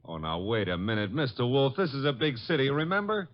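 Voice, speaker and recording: An actor as a breezy, animated wisecracking legman. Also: mid-range voice, a little fast, natural voice. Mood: skeptical, exasperated. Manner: slangy, breezy, wry. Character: wisecracking, skeptical male detective's assistant, breezy flirt. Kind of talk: old-time radio drama acting. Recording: dull, lo-fi old radio recording.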